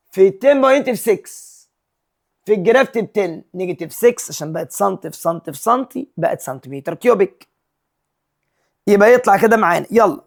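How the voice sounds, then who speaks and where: A male physics teacher with an Egyptian Arabic accent, working through exam problems step by step and mixing English terms and numbers into his Arabic. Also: sits high. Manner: mixes English terms and numbers into Arabic, reads questions slowly.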